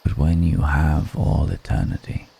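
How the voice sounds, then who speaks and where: Man as a very deep, slow-speaking ancient vampire. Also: gravelly, dark tone, slightly rough, heavily acted. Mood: weary, wistful. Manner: slow, deliberate, brooding, with long pauses. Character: ancient male vampire, world-weary, seductive, musing on mortality. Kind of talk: intimate ASMR roleplay monologue.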